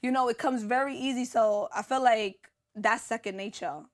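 A young woman with a New York accent, chatting as a guest in an interview, brash and quick to laugh and swear. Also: a little high. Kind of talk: casual interview chat.

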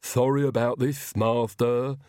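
A man's voice: medium pitch, flat delivery, a little slow, a little mellow, no echo, clean studio sound, close to an everyday voice. A man voicing a loyal, grumbling old manservant to vampires in a storybook, muttering reproachfully.

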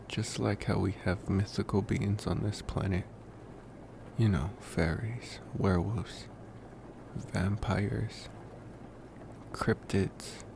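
A gentle young vampire acted by a man speaks in a low, creaky voice at a very unhurried pace. He muses and rambles intimately, as in an ASMR roleplay, with pauses between thoughts. Steady noise sits behind the voice. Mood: curious, musing. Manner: musing, rambling, with pauses and sighs. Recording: noticeable steady background noise.

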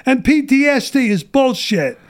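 High-pitched blunt ranting man. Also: very animated, normal speed, clear, resonant tone. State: losing his voice. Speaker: guest. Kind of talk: casual podcast chat.

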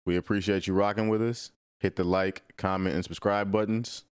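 A man who hosts and edits a podcast, speaking in a quiet, low voice with a measured manner in casual chat and sign-offs. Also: steady tone, clear, resonant tone, dry recording, clean studio sound. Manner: measured, wraps up the show. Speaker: podcast host and editor.

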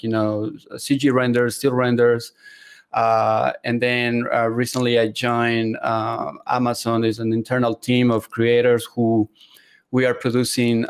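A man's voice: mid-range voice, smooth. A man from Ecuador who speaks natively, answering interview questions in an earnest, casual way. He laughs often and uses many fillers, talking over a video call. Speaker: guest 3D artist.

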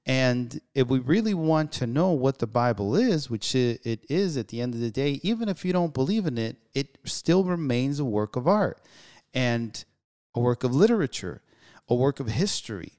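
A man's voice: medium pitch, lively intonation, normal speed, a little mellow. A man hosting a solo talk show, speaking earnestly in a musing, reflective way with frequent restarts. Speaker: podcast host.

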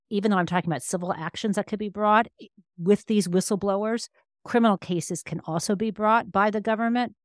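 A woman with a fairly low voice, recorded dry and close to the room-free side. She explains things as an expert guest in a podcast interview. Her delivery is conversational and emphatic, and she rephrases as she goes. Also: dry recording. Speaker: interview guest, law professor and author.

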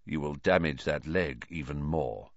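Man playing a hoarse, low, menacing fugitive wizard. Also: very animated, no room sound, dull audio, full character voice. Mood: quietly menacing. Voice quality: hoarse, croaky. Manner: slow, quiet menace. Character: gaunt, menacing adult male fugitive wizard, quietly sinister.

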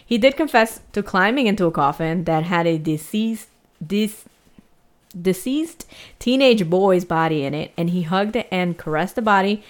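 A woman with a slight Spanish-tinged accent talks through a true crime story in a casual, chatty way. She uses lots of fillers and small laughs. Her voice sits a bit low for a woman. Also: lively intonation, normal speed. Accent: Spanish-influenced. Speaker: podcast co-host telling the story.

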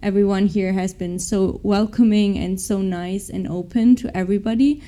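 Young woman with a mellow voice, lots of ums and restarts, sounds open and upbeat. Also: mid-range voice, steady tone, normal speed. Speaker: MBA student, former professional athlete. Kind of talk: interview answers.

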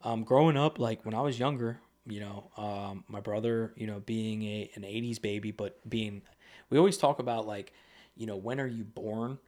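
Young guy on a podcast, kinda low voice, rambly and loose, lots of um and like. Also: steady tone, normal speed, a little mellow. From United States. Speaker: podcast guest. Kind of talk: casual conversation. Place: podcast studio.

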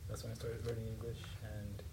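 A man's voice: fairly low pitch, monotone, fairly quick, crowd noise. A young man from Tanzania, a native Swahili speaker, chatting casually as a podcast guest. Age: young adult. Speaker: podcast guest, university student. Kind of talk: casual interview chat. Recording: noisy, distant from the mic.

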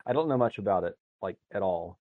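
Male podcast guest, soft-spoken and hesitant, laughs often, heard over a dry, dull, lo-fi call line. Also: low voice, expressive, brisk, dry recording. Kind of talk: casual chat. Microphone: headset over internet call.

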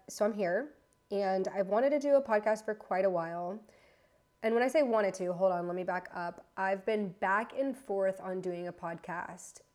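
A woman's voice: medium pitch, a little mellow. A woman in her thirties from the United States hosting a casual solo podcast from her apartment, chatty and a bit nervous, rambling and correcting herself as she goes. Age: 30s. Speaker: podcast host.

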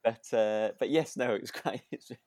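A man's voice: medium pitch, moderate pace, darkish tone, no room sound, studio quality. A man co-hosting a podcast, chatting casually in a hesitant, rambling, agreeable way. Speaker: podcast co-host. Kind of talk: casual chat about a radio drama.

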